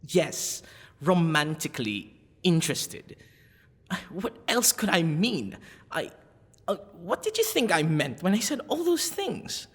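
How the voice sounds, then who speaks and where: A man playing a smitten, possessive half-dragon librarian in a boyfriend ASMR roleplay, with a halting, stammering, husky delivery. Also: sits high, fairly bright tone, full character voice. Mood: exasperated, insistent. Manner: halting, stammering, breathy sighs and nervous laughs. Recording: long reverberant echo.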